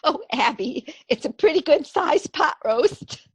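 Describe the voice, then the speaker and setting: A sweet, timid old aunt in a radio comedy, played by a woman. Her voice is high and fluttery, genteel and polite, recorded dry and close. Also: expressive, a little slow, dry recording. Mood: gentle. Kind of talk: stage comedy radio acting.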